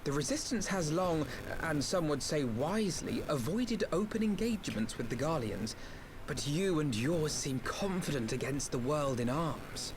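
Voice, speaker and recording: Game role: shrewd young gentleman, male actor. Slightly high, animated voice, formal and coolly challenging. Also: background noise, lightly acted. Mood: cool, pointed. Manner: formal, measured, pointed. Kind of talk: video game cutscene voice acting.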